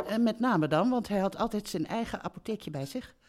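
Elderly mother, played by an actress, lively swooping intonation, doting and breezily matter-of-fact, comic stage radio play. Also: medium pitch, fairly quick, a little hiss or hum, close to an everyday voice. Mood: blithely explaining. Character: chatty, blithe elderly mother running a holiday park. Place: theatre stage before a live audience.

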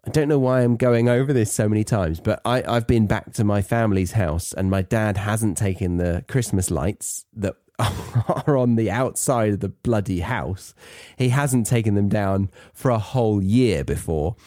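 A British man with a low, mellow voice explains things in a chatty way. His delivery is animated and playful, wandering into self-mocking asides, recorded close and dry. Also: normal speed, no room sound. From Britain. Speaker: podcast host teaching English learners.

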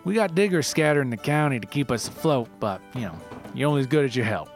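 A man plays a rustic Appalachian forager from a mock documentary story. He talks in a folksy, halting drawl, aggrieved yet sentimental, over background music. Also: medium pitch, expressive, pitched above the performer's own voice, lightly acted. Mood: frustrated. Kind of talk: mock reality-show character in a narrated story.

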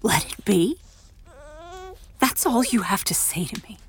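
A woman's voice: mid-range voice, expressive, measured pace. An actress playing a wounded, defiant woman, accusing and emotional, in an audio drama. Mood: hurt, defiant. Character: wounded, defiant woman confronting a man who betrays her.

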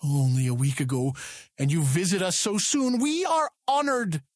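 Man playing a high-voiced, courtly middle-aged lord. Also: moderate pace, no echo, clean studio sound, lightly acted. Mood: courteous, chatty. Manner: formal, gracious, commanding. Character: courteous, authoritative middle-aged male lord's official. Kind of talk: audiobook drama acting.

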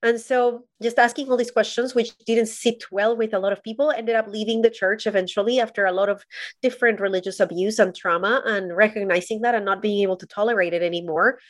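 A woman's voice: mid-range voice, steady tone, quick pace, no room sound. A woman from Colombia speaks as a podcast guest. She tells her story in an earnest, reflective way, and her voice comes through dry and close. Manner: earnest, thoughtful.

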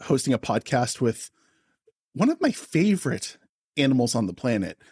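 A man's voice: medium pitch, sing-song, moderate pace, darkish tone, slightly rough, dry recording, studio quality. A man hosting a comedy podcast, playful and teasing in casual chat. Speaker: podcast host.

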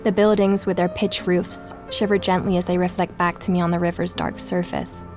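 A woman's voice: on the low side, flat delivery, moderate pace, faint music behind, natural voice. An actress playing a curious, polite woman investigator in a naturally spoken audio drama. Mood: reflective, suspenseful. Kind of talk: audio drama, intimate narration and natural dialogue.

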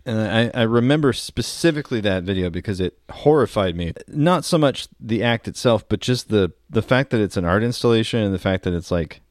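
A man's voice: lowish voice, expressive, brisk, hardly any pauses, dry recording, studio quality. A man co-hosting a casual comedy podcast, explaining things in a wry way with frequent asides. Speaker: podcast co-host.

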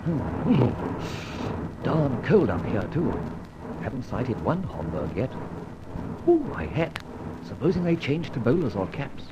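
An actor playing a suave, flippant gentleman adventurer in an old-time radio serial, with breezy old-fashioned slang. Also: mid-range voice, sing-song, unhurried, pauses often. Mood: musing. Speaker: narrator and lead actor. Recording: muffled vintage radio recording, faint noise.

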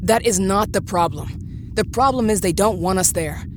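A woman's voice: medium pitch, normal speed, background noise, lightly acted. A woman playing a defiant, suspicious space mission commander in a science-fiction audio drama, terse and insistent.

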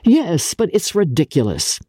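A woman voices a lovestruck young gentleman in a literary drama. He is earnest and intense, halting over his words, with a dark, husky tone and a slow delivery. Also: lowish voice, wildly swooping pitch, dry recording, studio quality, pitched above the performer's own voice, full character voice. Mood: earnest, flustered. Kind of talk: dramatic literary audiobook character reading.

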